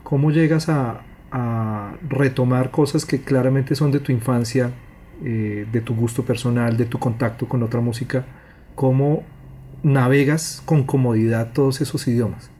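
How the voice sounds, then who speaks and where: Mellow-voiced male interviewer. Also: mid-range voice, steady tone, moderate pace, slight background noise. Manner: long, winding questions. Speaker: interviewer and host. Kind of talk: interview questions.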